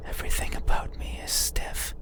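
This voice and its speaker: A man voicing an eerie, lonely horror game monster in a breathy, halting, insistent whisper. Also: natural voice. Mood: puzzled, melancholy. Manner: slow, halting, deliberate, questioning. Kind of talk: ASMR-like horror game monologue. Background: music.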